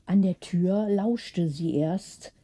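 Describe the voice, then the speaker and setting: A woman narrates a bedtime story in a very mellow, relaxed voice. Her tone is husky and breathy, and she reads at an unhurried pace in scripted audiobook style. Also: lowish voice.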